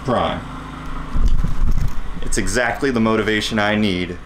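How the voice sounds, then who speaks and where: A man's voice: lowish voice, a little slow, noisy background. A young man chatting casually as a vlog host while filming himself inside an empty RV he is painting. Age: young adult. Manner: chatty, upbeat, self-filming. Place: inside a gutted RV, hard bare walls. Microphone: camera held at arm's length. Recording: music playing in the background.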